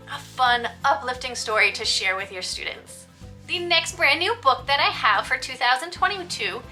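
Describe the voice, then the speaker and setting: A woman hosting a scripted, upbeat book review for teachers, speaking in a clear presenter style and stressing key descriptive words. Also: a little high, bright, forceful tone.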